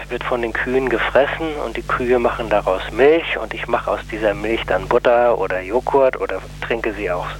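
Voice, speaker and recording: A man giving a radio interview, explaining his case in a deliberate, hesitant manner. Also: mid-range voice, a little hiss or hum, rough recording. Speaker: interview guest, anti-nuclear plaintiff.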